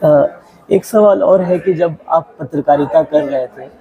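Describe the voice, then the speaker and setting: A man's voice: fairly high pitch, a little slow, dull audio. A man working as an interviewer, putting long, meandering and polite questions to a guest. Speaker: interviewer, journalist.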